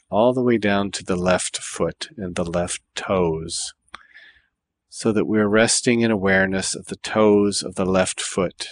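Low-voiced man guiding meditation. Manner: calm, measured, deliberate phrasing with pauses. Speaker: meditation guide. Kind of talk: guided body scan meditation.

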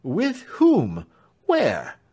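A man's voice: a little high, huge pitch swings, drawn-out words, mellow, husky, voice pushed up, heavily acted. A man voicing a desperate young man who cries out and pleads for answers. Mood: anguished. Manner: crying out, pleading.

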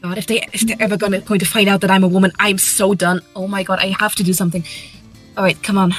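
A woman's voice: medium pitch, fairly even intonation, quick pace, a bit bright, soft background music, close to an everyday voice. A woman voicing a nervous armored cat knight who poses as a man, rambling and defensive in an improvised comedy fan dub. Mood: anxious muttering.

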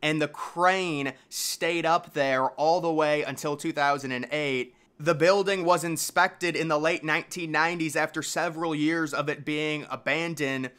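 A man with a bright, forceful voice pitched a little high narrates as a video host. His delivery moves between documentary-style reading and loose, slangy commentary. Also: fairly even intonation, a little slow, clean tone. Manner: informal, slangy asides.

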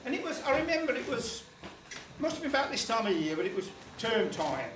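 A man's voice: very high-pitched, big pitch swings, a little fast, noisy background. An older man telling a chatty, rambling comic story to a small folk club, with lots of asides. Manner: gestures broadly while standing. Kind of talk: comic spoken-word tale. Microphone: far camera. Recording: roomy, distant camera audio.

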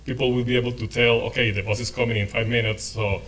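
A man in his forties with a Spanish accent, a native Spanish speaker, presents at a conference podium. His pitch sits in the middle range for a man. He gestures as he talks and phrases things loosely, with plenty of fillers. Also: level tone, fast, a bit bright. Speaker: transit technology presenter. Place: hotel meeting room, indoors. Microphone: podium microphone.

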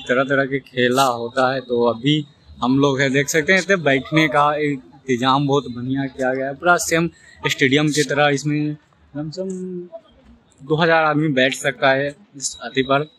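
A man's voice: medium pitch, fairly even intonation, moderate pace, a bit bright, low-quality recording. A young man from Bihar giving a chatty, repetitive vlog tour of an outdoor stadium, filmed on a phone at arm's length. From Bihar, India. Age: young adult. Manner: chatty, addresses viewers directly, repeats himself. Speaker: vlogger. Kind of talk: casual vlog walkthrough.

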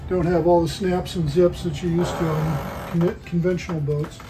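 A man's voice: fairly high pitch, little pitch movement, moderate pace, over music, poor audio. A man, giving a matter-of-fact walkthrough tour of a boat in an indoor showroom. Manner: matter-of-fact, listing features.

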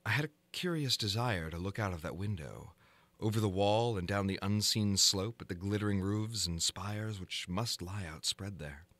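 Bright, forceful male horror narrator. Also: fairly low pitch, no room sound. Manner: theatrical, deliberate, ornate literary delivery. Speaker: narrator, audiobook reader. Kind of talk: dramatic reading of a gothic horror story.

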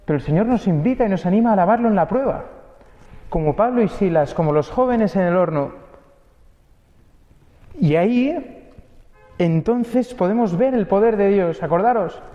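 High-voiced preacher in a reverberant church. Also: male voice, animated, measured pace, long pauses. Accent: Spain Spanish. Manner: conversational preaching with rhetorical questions and tag words. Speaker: priest. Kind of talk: homily at Mass. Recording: dull, lo-fi audio with long church reverb.

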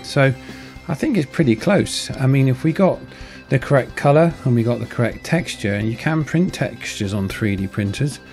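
A man's voice: mid-range voice, lively intonation, normal speed, hardly any pauses, darkish tone. A man casually narrating his hobby build in a modest, understated way. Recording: music behind the voice. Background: guitar music.

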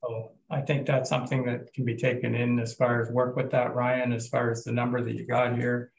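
A Canadian man chairing a municipal council meeting as mayor, moving motions along in a plain, steady way over a video call. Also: mid-range voice, fairly quick, creaky, reverberant, low-quality recording.

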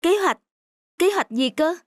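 A woman voicing a sensitive, expressive young woman, an emotional girlfriend in a cartoon. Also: very high-pitched, measured pace, background noise. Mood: hurt. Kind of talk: cartoon dubbing.

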